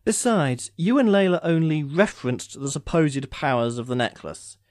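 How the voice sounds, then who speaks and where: A man's voice: fairly high pitch, lively intonation, moderate pace, darkish tone, no room sound, natural voice. A man playing an urbane immortal sorcerer in an audio drama, speaking formally with dry, sardonic impatience. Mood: smug, sardonic. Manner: wry, formal, theatrical phrasing.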